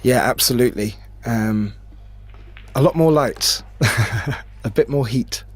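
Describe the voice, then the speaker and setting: A man giving expert pool analysis as co-commentator, thoughtful and a bit halting. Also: mid-range voice. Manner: analytical, hesitant with fillers, laughs easily. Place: remote booth.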